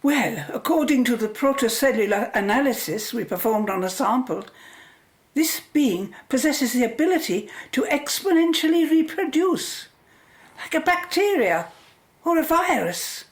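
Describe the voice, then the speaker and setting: A woman playing a sceptical, rational scientist in a radio play, frank and precise. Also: on the high side. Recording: roomy, echoing studio sound.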